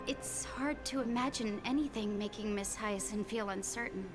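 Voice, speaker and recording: A woman's voice: mid-range voice, normal speed, close to an everyday voice. A woman playing a shy, self-doubting young apprentice healer in a video game, polite and hesitant. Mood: humble, admiring. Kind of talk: scripted game dialogue. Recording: music playing behind the voice.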